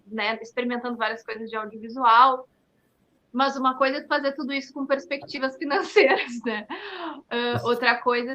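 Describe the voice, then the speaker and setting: A woman's voice: high-pitched, sharp tone, dull audio. A woman with a southern Brazilian accent from Rio Grande do Sul, answering in a conversational interview in an earnest, explanatory way, peppered with tag questions. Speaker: dance artist and studio owner, interview guest.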